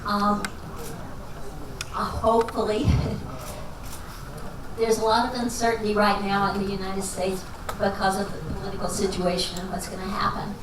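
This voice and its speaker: A woman from Texas, a finance professor, answering audience questions at a lecture in a folksy, self-deprecating way. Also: medium pitch. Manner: folksy and self-deprecating, jokes and laughs as she talks. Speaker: guest speaker, finance professor. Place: lecture hall. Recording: echoey hall recording from far off, with noise.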